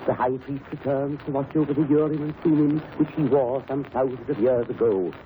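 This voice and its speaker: An actor playing an anxious, fussy museum professor in a radio mystery, speaking formally with many hesitations. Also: male voice, medium pitch, fairly even intonation, fairly quick, lightly acted. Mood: courteous, scholarly. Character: fussy, anxious male museum professor, superstitious despite himself. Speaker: museum curator. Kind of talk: 1930s radio mystery acting. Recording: muffled, noisy old radio recording.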